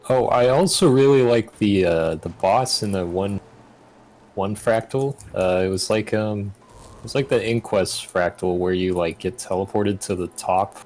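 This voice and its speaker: Young man, deep pitch, casual gaming chat, halting delivery, light background music. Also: darkish tone. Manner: halting, searching for words. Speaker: co-player. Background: faint game music.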